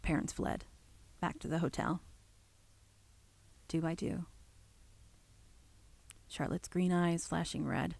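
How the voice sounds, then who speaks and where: An actress playing an uneasy, brooding woman who haltingly records her account in a horror drama, with a husky, gravelly voice. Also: lowish voice, fairly even intonation, a little mellow, no room sound, full character voice. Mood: hushed, uneasy. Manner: halting, brooding, breaking into whispers.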